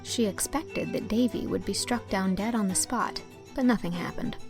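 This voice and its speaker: Creaky-voiced woman narrating a storybook. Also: medium pitch, moderate pace, music in the background. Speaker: audiobook narrator. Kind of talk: scripted dramatic storybook narration.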